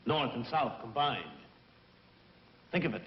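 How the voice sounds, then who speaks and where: An actor playing a courteous, determined spaceship captain in a dubbed old sci-fi film, speaking formally. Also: male voice, mid-range voice, unhurried, lightly acted. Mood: courteous, earnest. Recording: roomy, muffled old film soundtrack, music.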